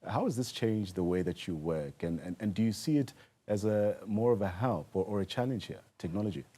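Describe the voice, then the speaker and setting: Deep, mellow South African male interviewer. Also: expressive, a little fast. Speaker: television news interviewer. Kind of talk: broadcast interview questioning.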